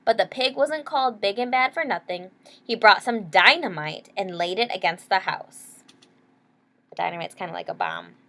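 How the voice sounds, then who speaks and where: A woman reading a children's picture book aloud expressively, pausing to explain words and ask young listeners questions. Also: medium pitch, animated, pushed and bright. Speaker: read-aloud storyteller. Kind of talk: expressive children's story narration.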